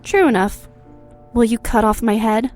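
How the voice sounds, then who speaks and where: A woman's voice: a little high, normal speed, a little mellow, faint music behind, natural voice. A woman playing a cold, taunting vampire who was once a loving wife, in an audio drama. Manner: taunting, accusing. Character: cold, mocking young wife turned immortal vampire.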